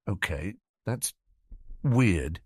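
A man voicing a sardonic, clever schoolboy wizard with a dry, teasing, deliberate manner in an audiobook. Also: sits low, expressive, slightly rough, no room sound, full character voice. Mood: puzzled.

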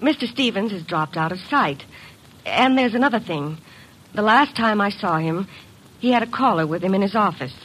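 A woman's voice: mid-range voice, lively intonation, moderate pace, fairly bright tone, slight background noise, lightly acted, rough recording. A woman acting a frightened young secretary in a vintage radio detective drama, speaking politely and hesitantly. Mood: worried, hesitant.

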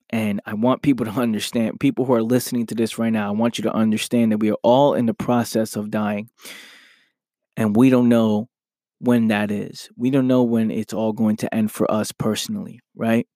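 Slightly hoarse man, motivational podcast host. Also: fairly low pitch, dark tone, dry recording, clean studio sound. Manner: earnest, repeats "right?" often. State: slightly hoarse from losing his voice. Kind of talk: motivational solo podcast talk.

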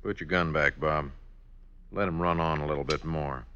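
An actor playing a cool, menacing American outlaw leader in an old-time radio western, laconic and commanding. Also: male voice, extremely low pitch, fairly even intonation, moderate pace, bright, forceful tone, heavily acted. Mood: dry, menacing. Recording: dull vintage radio recording.

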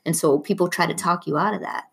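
Casual woman podcaster, lowish voice. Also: fast, vocal fry. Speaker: podcast host, interviewer. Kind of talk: casual conversational interview.

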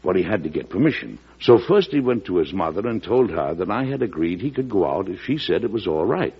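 An actor with an American accent playing a shrewd, genial, avuncular president in an old-time radio drama, in a deliberate storytelling manner. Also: male voice, low voice, very animated, slightly rough, exaggerated voice. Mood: wry, confiding. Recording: dull lo-fi vintage radio broadcast.

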